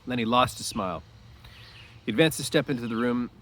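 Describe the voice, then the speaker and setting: Male read-aloud narrator, medium pitch, teacherly and explanatory, informal asides, some throat-clearing. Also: brisk, noisy background.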